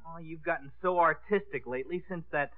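A man's voice: fairly high pitch, a little fast, lightly acted. An actor playing a sulky, sarcastic teenage boy, grumbling and blurting scornfully in a 1950s radio play. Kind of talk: 1950s radio drama acting. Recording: narrow, lo-fi 1950s radio broadcast.

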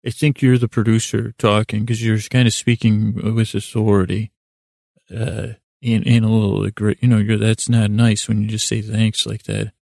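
A man with a gravelly voice hosting a sleep podcast in a rambling, hesitant, self-deprecating monologue that keeps drifting into tangents. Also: fairly low pitch, little pitch movement, moderate pace, a little mellow, no room sound, clean studio sound. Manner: meandering, hesitant, with self-deprecating asides and acted-out imaginary dialogue.

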